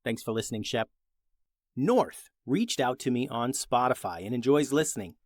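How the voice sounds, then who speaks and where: A man's voice: medium pitch, expressive, normal speed, no room sound, clean studio sound. A man hosting a children's story podcast, reading scripted narration.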